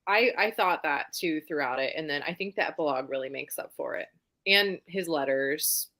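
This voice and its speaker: A woman's voice: low-pitched, normal speed, bright, forceful tone. A woman talking informally and conversationally as a host of a book readalong live show. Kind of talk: casual chat.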